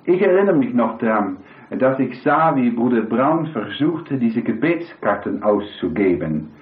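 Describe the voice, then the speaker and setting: A hoarse man preaching a sermon, rambling and putting questions to the congregation. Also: mid-range voice, big pitch swings, measured pace. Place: church hall with congregation. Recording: echoey, tinny lo-fi hall recording.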